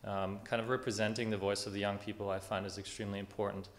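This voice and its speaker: Young german guy talking at a town meeting, lowish flat voice, lots of ums, earnest. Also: quick pace. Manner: earnest, hesitant with many fillers. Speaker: public meeting speaker. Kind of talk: speaking at a public consultation. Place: public meeting hall.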